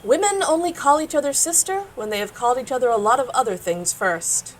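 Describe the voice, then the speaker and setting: A woman playing a spirited, warm-hearted girl adventurer in an audio drama, conversational, wry and earnest. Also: high voice, animated, fairly quick, slight background noise. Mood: earnest, teasing. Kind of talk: naturalistic audio drama acting.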